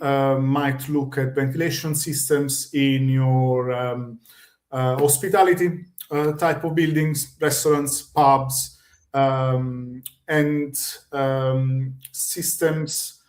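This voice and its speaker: An Italian man with an Italian accent talking through technical rules on a webinar, steady and careful, filling gaps with soft ums. His voice sits at an ordinary pitch and rings a little in a hard, echoey room. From Italy. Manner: measured, many ums and pauses. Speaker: guest expert on building compliance. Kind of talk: webinar explanation.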